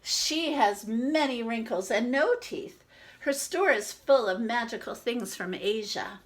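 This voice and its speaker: Female picture-book reader, high lively voice, casual asides to show the illustrations. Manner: informal, pausing to point out illustrations. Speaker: teacher reading a picture book aloud.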